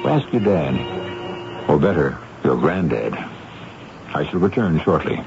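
A man's voice: very deep voice, very animated, unhurried, gravelly. A man hosting a radio mystery show with scripted, theatrical narration in a wry, conversational manner. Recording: dull radio broadcast over loud music. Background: loud music.

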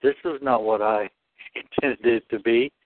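A man speaking earnestly and devoutly about faith, with halting restarts. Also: mid-range voice, fairly even intonation, no echo. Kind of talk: spiritual discussion. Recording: dry phone line.

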